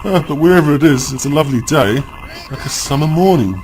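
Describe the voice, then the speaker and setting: A man's voice: fairly high pitch, fast talker, slight background noise, close to an everyday voice. An actor playing a cheerful young man from Earth, upbeat and full of wonder. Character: cheerful young male time traveller from Earth. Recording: voice with loud music under it.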